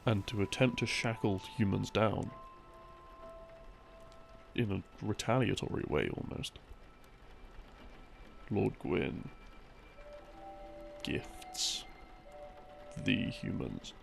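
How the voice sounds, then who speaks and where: A man with a very gravelly, creaky voice talks very slowly over clearly audible music. He rambles through a story meant to help someone sleep, halting and musing between phrases. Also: fairly low pitch, lively intonation. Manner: halting, musing delivery with pauses. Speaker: audio roleplay creator playing a boyfriend. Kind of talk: rambling storytelling, sleep-aid lore explanation. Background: background music.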